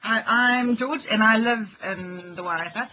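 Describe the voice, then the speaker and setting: Nz guy, high bright voice, easygoing intro over a muffled call. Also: normal speed. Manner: friendly and easygoing. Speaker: health lecturer. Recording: muffled call audio with faint noise.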